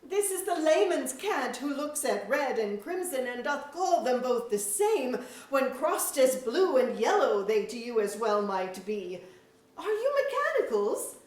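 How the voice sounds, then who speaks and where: A proud court chamberlain acted by a woman in a period-style play, high in voice and slow in delivery. The speech is emphatic, witty and formal, caught from a distance in an echoey auditorium. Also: very animated, a little mellow. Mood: indignant, scornful. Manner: rhetorical, emphatic, archaic formal diction. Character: proud, witty Lord Chamberlain of a fantasy court, loyal, scolding. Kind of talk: staged reading of mock-Shakespearean verse drama. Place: museum auditorium.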